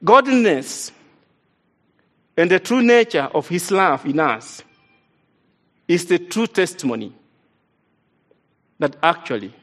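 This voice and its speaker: An East African man, not a native English speaker, delivers a sermon in a notably high voice. He preaches earnestly and with emphasis, repeating his main points to drive them home. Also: lively intonation, slow pace, pauses often, a bit bright. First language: an African language, not English. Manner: earnest, emphatic, repeats key lines for stress.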